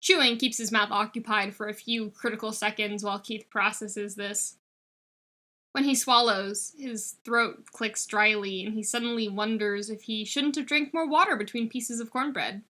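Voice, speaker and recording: Woman reading fanfic aloud, bright forceful voice, kinda high, expressive storytelling. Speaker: podfic reader. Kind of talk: expressive scripted fiction narration.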